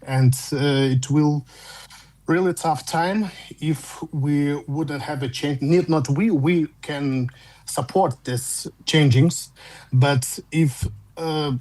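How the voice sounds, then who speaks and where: A man with a Russian accent, calling in to a fan show, speaking English as a second language in halting phrases with frequent restarts. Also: fairly high pitch, darkish tone. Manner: halting, repeats words and restarts while searching for English. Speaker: caller. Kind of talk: phone-in fan chat.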